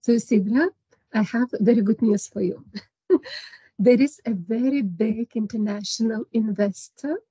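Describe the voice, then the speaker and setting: A woman's voice: on the high side, a little slow, warm tone, slightly rough, no room sound, dull audio. A woman career advisor answering questions in an online seminar, encouraging and practical. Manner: encouraging, gives advice with light laughs. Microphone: video call.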